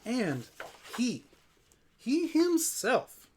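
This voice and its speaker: A man's voice: very high-pitched, huge pitch swings, drawn-out words. A man reading a children's storybook aloud in a theatrical, playful way, as a streamer would. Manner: theatrical, playful, with offhand asides. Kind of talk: dramatic children's storybook narration.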